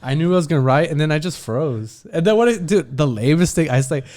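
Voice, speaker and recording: A man co-hosting a podcast, telling stories in casual chat with animated, self-mocking humor. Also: on the high side, quick pace. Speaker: podcast co-host.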